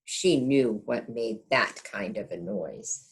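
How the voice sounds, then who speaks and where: Expressive low-voiced woman reading a storybook aloud. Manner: expressive, pausing to ask listeners questions. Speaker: kindergarten teacher reading aloud. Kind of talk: storybook read-aloud for young children.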